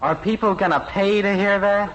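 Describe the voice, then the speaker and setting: A man's voice: high-pitched, fairly even intonation, moderate pace, crowd noise, lightly acted. A man playing a blunt, awkward, unimpressed adolescent son in a 1950s radio sitcom, talking hesitantly. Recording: dull vintage radio recording.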